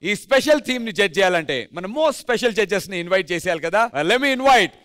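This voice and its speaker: A man's voice: sits high, very animated, fairly quick. A man with an Indian accent hosting a dance show, announcing energetically and exuberantly to an audience. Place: TV studio stage. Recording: music behind voice. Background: music.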